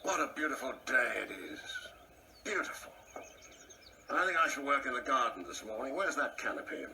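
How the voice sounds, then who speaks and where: Pompous, fretful older husband, noisy recording. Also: male voice, medium pitch, expressive, moderate pace, pushed and bright. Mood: contented. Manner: pompous, indignant, muttering repetition. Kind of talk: radio play acting. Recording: noisy audio play recording.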